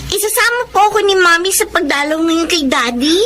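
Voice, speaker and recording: A woman playing an excited, affectionate little girl in a Filipino radio drama. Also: very high-pitched, brisk. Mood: eager, affectionate.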